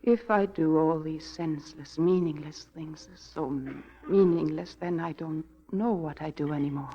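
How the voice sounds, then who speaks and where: An actress playing an anxious, fragile, pleading wife in the emotional style of 1940s radio drama. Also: female voice, low voice, moderate pace, dark tone, slightly rough. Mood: despairing. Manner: breathless, pleading, emotionally volatile. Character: anxious, fragile, pleading wife doubting her own mind. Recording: dull vintage 1940s radio recording.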